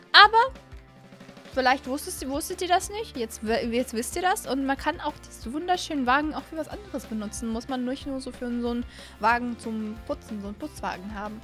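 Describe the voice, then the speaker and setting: A young woman hosting a gaming video, giving casual, animated and excitable commentary into a close desk microphone. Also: fairly high pitch. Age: young adult. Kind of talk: casual let's-play commentary. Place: small indoor streaming room. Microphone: close desk microphone on an arm. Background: faint music.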